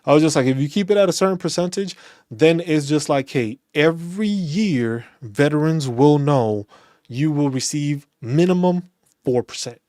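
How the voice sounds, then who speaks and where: A man from New City, speaking in a slightly higher voice than most men. He rants in loose, casual talk, restarts and repeats himself, and is recorded close and dry. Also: moderate pace, dry recording. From New York City. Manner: animated, ranting, repeats phrases and restarts. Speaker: veterans' channel host.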